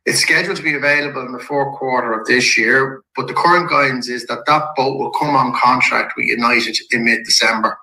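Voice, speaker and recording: A man with a slightly croaky voice from a head cold, a company executive calmly walking shareholders through an investor presentation slide by slide. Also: mid-range voice. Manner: measured and businesslike, with occasional restarts. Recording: echoey room.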